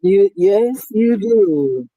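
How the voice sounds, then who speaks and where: A woman's voice: medium pitch, expressive, extremely slow, no echo, narrow, tinny audio. A woman working as a Reiki master and voice actor, talking casually with encouraging spiritual advice and often repeating words as she speaks. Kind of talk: casual conversation on a live stream.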